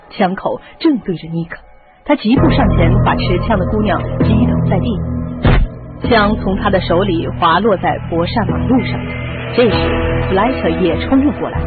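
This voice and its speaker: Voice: mid-range voice, phone-quality sound. Radio-drama narrator over music. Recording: band-limited audio with music.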